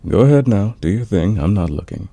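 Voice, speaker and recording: A man playing a smug, flirtatious fantasy villain in a romantic ASMR roleplay, teasing and intimate with a husky, gravelly voice. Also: fairly low pitch, lively intonation, dark tone, no echo. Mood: playful protesting. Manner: teasing, intimate, drawn-out confiding delivery. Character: flirtatious, smug male fantasy villain, secretly smitten rival.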